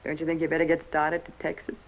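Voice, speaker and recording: An actress playing a selfish, hard-boiled American femme fatale in a 1950 film noir, slangy, cool and brazen. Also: female voice, sits low, completely flat delivery, fast talker. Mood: mock sweet. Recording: dull old film soundtrack, faint noise.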